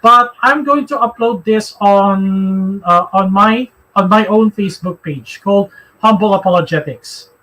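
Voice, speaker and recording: A man from the Philippines with a Filipino accent, hosting a religious discussion in a halting way, often repeating phrases. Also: sits high, a bit bright, rough recording. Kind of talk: live religious discussion, answering questions.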